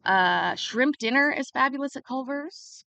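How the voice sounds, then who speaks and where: A woman's voice: high-pitched, animated, measured pace, creaky, pushed and bright, clean tone, no room sound. A young woman hosting a casual livestream, chatting freely and answering viewers' comments as they come in. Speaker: livestream host.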